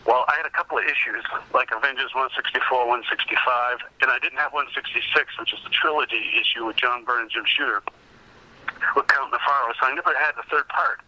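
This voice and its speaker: Male podcast guest, fifties, piercingly bright delivery, chatty animated storyteller, slightly muffled lo-fi call audio. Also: mid-range voice, quick pace. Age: 50s. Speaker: podcast guest, comic collector. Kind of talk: casual anecdotal interview chat.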